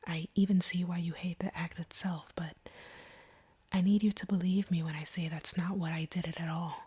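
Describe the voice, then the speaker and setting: Casting: actress as a conflicted vampire woman for intimate audio roleplay; very breathy whisper, lowish pitch, earnest and deliberate. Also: fairly even intonation, dark tone. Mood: apologetic, sincere. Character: conflicted female vampire confessing feelings to her hunter.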